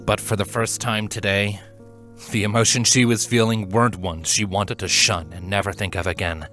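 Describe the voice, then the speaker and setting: A man narrating scripted fan fiction in an earnest, dramatic storytelling manner with deliberate pauses. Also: lowish voice, steady tone, a bit bright, faint music behind.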